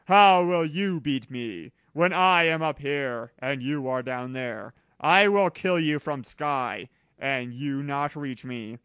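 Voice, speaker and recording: A man's voice: mid-range voice, slow, hardly any pauses, fairly bright tone, clean tone, no room sound. A man voicing a boastful, menacing clone monster villain in a read-aloud fan story. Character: Mew Three, boastful villainous clone creature bent on revenge.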